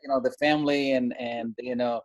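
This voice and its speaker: A man's voice: medium pitch, steady tone, brisk, low-quality recording. Hesitant male moderator. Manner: hesitant, many fillers. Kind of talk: introducing a co-host.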